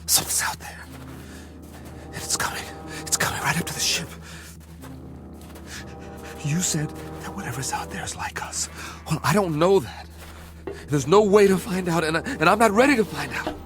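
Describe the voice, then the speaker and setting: An actor playing an anxious, cautious astronaut scientist in a radio-style drama, speaking tensely and stubbornly. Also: male voice, low-pitched, expressive, normal speed. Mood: frightened. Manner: tense, stubborn, increasingly frantic. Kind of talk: dramatic radio-play acting. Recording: music playing behind the voice.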